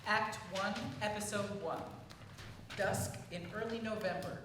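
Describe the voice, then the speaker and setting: Casting: female stage narrator, lower register, scripted delivery; echoey and noisy distant recording. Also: steady tone, measured pace. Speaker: narrator reading stage directions. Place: indoor theatre.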